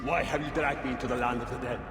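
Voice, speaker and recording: A man's voice: mid-range voice, steady tone, quick pace, very noisy, lightly acted. An actor playing a defiant, scornful male officer who threatens revenge. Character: defiant male Greek law officer facing death. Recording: echoey cavern with long reverb and loud music.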